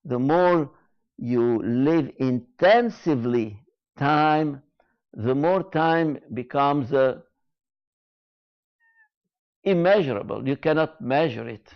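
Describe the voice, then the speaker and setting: A man giving a reflective spoken lecture, slow and deliberate, repeating key phrases with frequent hesitations. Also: mid-range voice, lively intonation, muffled recording. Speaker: speaker giving a talk.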